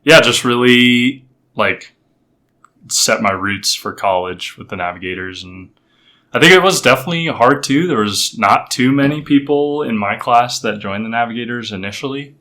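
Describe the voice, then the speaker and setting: A young man from Colorado, a podcast guest telling his story in a halting, casual way with many fillers. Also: medium pitch, pushed and bright. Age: 20s. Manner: halting, many fillers and restarts, laughs easily.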